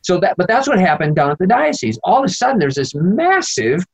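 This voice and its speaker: A man's voice: high voice, very animated, fairly quick, creaky, slightly rough. An American man, a priest being interviewed, talking emphatically and indignantly with rambling asides. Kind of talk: animated conversational interview answers.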